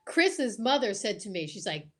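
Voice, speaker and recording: A woman co-hosting a casual talk show, asking questions and agreeing in an expressive way. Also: mid-range voice, normal speed.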